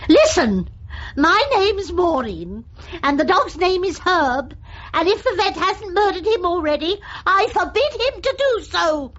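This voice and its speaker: A woman's voice: very high voice, big pitch swings, a little slow, fairly bright tone, highs cut off, a little hiss or hum, full character voice. A woman acting a genteel, effusive British dog lover, feeble but defiant, in a radio comedy drama. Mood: indignant, frantic. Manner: effusive, melodramatic, genteel turns of phrase.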